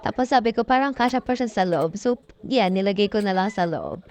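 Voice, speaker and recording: A woman's voice: medium pitch, lively intonation, fairly quick, darkish tone. A young Filipino woman with a chatty, informal manner, narrating a casual vlog as a voice-over. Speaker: mom vlogger.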